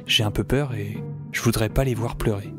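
A man's voice: lowish voice, steady tone, moderate pace, music in the background, natural voice. A man playing a casual, wry young hero who narrates his adventure in an audio drama. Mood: reflective.

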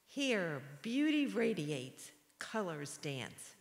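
A woman's voice: fairly low pitch, big pitch swings, extremely slow. A woman giving a responsive liturgical reading at a church lectern. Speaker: liturgy reader. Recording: church hall reverb.

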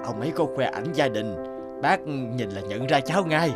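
A man's voice: mid-range voice, expressive, normal speed, loud music behind the voice, natural voice. A man voicing a caring, easily surprised middle-aged father in a cartoon, kindly and hesitant, with a Northern Vietnamese accent. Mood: pleasantly surprised. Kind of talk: animated cartoon dialogue.

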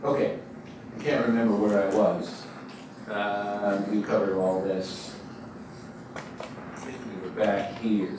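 A man's voice: on the low side, flat delivery, slow pace, long pauses. An older man giving a conversational, emphatic keynote lecture at a university, pacing in a large lecture hall. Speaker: guest lecturer. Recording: echoey hall, distant mic, faint noise.

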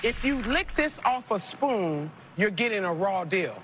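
A woman in her thirties hosting a TV game show, playful and quick with slang and jokes. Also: mid-range voice. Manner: playful, lively, Southern-tinged slang like y'all. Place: large studio set of a supermarket. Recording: TV broadcast over loud music. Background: loud game show music.

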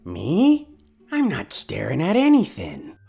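A man voicing a sneaky, sarcastic, twitchy raccoon in a husky cartoon voice. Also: fairly high pitch, huge pitch swings, slow pace, dark tone, soft background music, exaggerated voice. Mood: nervous, teasing. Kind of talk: comic dub cartoon acting.

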